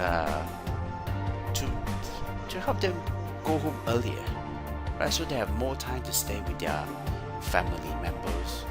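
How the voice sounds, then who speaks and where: A man with a Malaysian accent giving a screen-share tutorial as a trainer, often tagging his sentences with "right?". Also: sits low. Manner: frequent "right?" tag questions. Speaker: Excel trainer. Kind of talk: screen-share software tutorial with sales pitch. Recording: music playing behind the voice. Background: clear background music.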